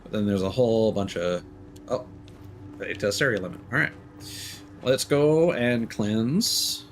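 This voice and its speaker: A young adult man streaming a video game, thinking aloud in casual commentary full of fillers and restarts, with sudden excited exclamations. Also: lowish voice, a little slow.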